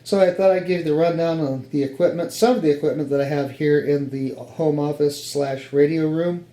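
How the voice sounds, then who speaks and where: A man's voice: a little high, fairly even intonation, brisk, dark tone. A man with an American accent giving a casual, explanatory walkthrough of equipment in his home office. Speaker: hobbyist radio operator presenting his setup. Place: home office radio room, indoors. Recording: roomy echo with faint background noise.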